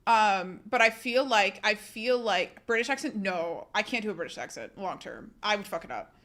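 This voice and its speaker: Bright-voiced chatty woman streamer. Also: mid-range voice, animated, normal speed. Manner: chatty and self-deprecating, laughs often, reads chat aloud. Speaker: livestreamer. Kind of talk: casual chat with a live audience.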